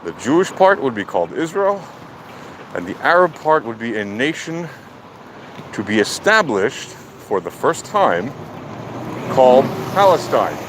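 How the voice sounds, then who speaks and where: A man with a mid-pitched voice delivers an opinionated political monologue. He speaks deliberately and emphatically, in the manner of someone arguing a case off the cuff. Very loud outdoor vehicle noise runs behind his voice. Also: big pitch swings. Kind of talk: opinionated extemporaneous political commentary.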